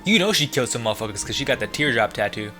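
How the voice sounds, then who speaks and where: A man talks through a video game as he plays, in casual, unfiltered commentary peppered with swearing. His voice sits at a middle pitch with a bright, forceful edge. Faint game music plays underneath. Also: animated, rapid-fire. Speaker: let's player.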